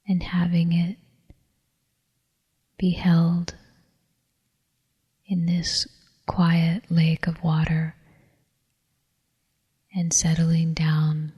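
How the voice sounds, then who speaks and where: Very slow, breathy woman guiding meditation. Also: fairly low pitch. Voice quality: soft, breathy, whispery. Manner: calm, soothing, unhurried with long pauses. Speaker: meditation teacher. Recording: long reverberant hall echo.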